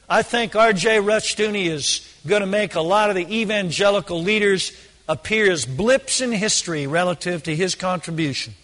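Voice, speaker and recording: A hoarse man preaches with a high-pitched voice that rings with long reverb, as in a large hall. He presses his points hard and repeats phrases to drive them home. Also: fairly bright tone. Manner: emphatic, repeats phrases for stress. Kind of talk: sermon.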